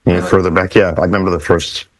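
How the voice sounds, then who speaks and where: A man's voice: low voice, flat delivery, quick pace. A man chatting casually as a panelist on a music talk show.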